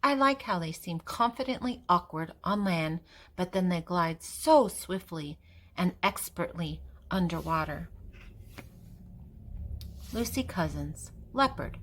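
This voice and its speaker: An older woman reading a picture book aloud to children, expressive and deliberate, with emphasis on key words. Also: on the low side, a little hiss or hum. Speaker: children's story reader and channel host.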